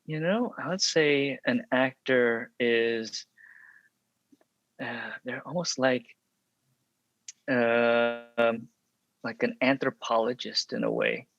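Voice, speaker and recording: A young adult man from Texas, an actor and podcast guest, telling stories in a relaxed interview, reflective and full of ums and restarts. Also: medium pitch, takes it slowly, vocal fry, long pauses. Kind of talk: casual interview storytelling. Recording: dry, slightly dull sound.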